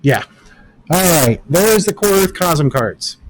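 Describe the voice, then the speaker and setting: A man with a notably high voice leads a tabletop game as game master. He talks through the rules in a patient, methodical way. A faint hiss sits under the recording. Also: animated, takes it slowly.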